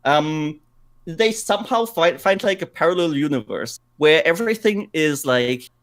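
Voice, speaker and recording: A man's voice: fairly high pitch, lively intonation, normal speed. A man co-hosting a podcast, chatting casually and repeating words for emphasis as he tells things. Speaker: podcast co-host. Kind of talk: casual podcast chat about games and films.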